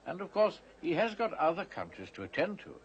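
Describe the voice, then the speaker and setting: An actor playing a kindly, wise older figure in film dialogue, speaking in a soothing, unhurried way. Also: male voice, high voice, big pitch swings, highs cut off. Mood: gentle, reassuring.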